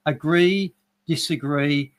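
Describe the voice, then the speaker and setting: A man giving opinionated news commentary in a dry, sardonic, measured way. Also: a little high, a little hiss or hum. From Australia. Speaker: regular commentator.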